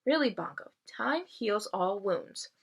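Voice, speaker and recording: Woman as a calm, wise old tree. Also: mid-range voice, slightly rough, exaggerated voice. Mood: calm reassuring. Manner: measured, philosophical, dryly humorous. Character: wise old female tree, calm, optimistic, gently joking.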